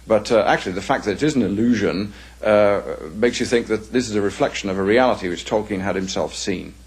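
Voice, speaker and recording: A man with an English accent giving unscripted scholarly commentary in an interview, speaking in a deliberate, halting, explanatory way. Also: fairly low pitch, slightly rough.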